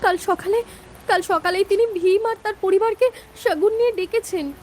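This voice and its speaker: A woman's voice: very high voice, moderate pace, darkish tone, slight background noise. A woman playing an anxious young village sweetheart in a cartoon, urgent and pleading.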